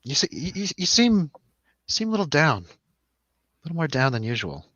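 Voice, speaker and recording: A man's voice: on the high side, sing-song, normal speed, fairly bright tone, no echo, muffled recording. A man hosting a casual film review chat, rambling and jokey, putting questions to his co-host. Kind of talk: casual chatty film discussion over drinks.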